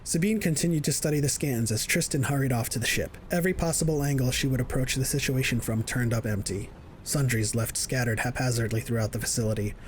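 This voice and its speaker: A man's voice: mid-range voice, fast talker, slight background noise. A man reading scripted narration for an audio drama.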